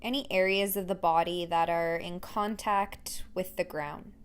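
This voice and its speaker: A woman instructor leading a guided meditation in a gentle, unhurried way with pauses between phrases. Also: fairly low pitch, steady tone, smooth.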